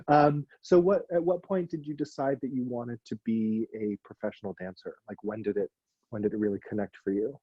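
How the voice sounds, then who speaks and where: Forties male host, dark breathy tone, dry lo-fi sound, thoughtful questions. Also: medium pitch, normal speed, no echo. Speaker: host, interviewer. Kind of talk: casual interview.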